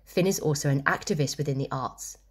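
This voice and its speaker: Low-voiced woman hosting an interview. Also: brisk, slightly rough. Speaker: host, interviewer. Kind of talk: scripted introduction and interview.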